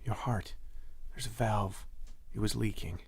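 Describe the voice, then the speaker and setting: A man playing a gentle, worried sweetheart in an audio drama, speaking softly, haltingly and tenderly. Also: on the low side, steady tone, vocal fry, breathy edge, full character voice. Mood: gentle, pained. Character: gentle, worried man comforting his sick sweetheart, Nick.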